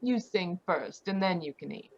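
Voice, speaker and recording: A woman's voice: fairly low pitch, lively intonation, moderate pace, a little mellow. A woman playing a detective's playful, possessive girlfriend in 1940s radio style, coy and insistent. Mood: playful, coaxing. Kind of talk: 1940s radio drama acting. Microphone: video call. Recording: dull, lo-fi video call audio.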